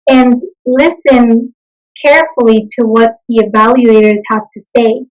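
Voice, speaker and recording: A young woman from Bolivia, a native Spanish speaker, answering interview questions in an earnest, measured way. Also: a little high, low-quality recording. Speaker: interview guest, consultant.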